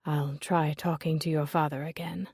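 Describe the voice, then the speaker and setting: A woman voicing an earnest, lovesick young gentleman, speaking low and hushed. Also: little pitch movement, normal speed, slightly rough, no room sound, studio quality.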